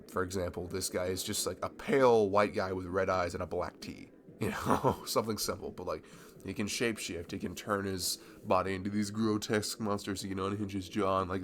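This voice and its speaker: Shy young nerd in love male actor, low and gravelly, hesitant and rambling, ASMR roleplay over audible background noise. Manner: rambling, hesitant, stammering, nervous laughs. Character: shy, giddy, nerdy young man, a longtime friend in love.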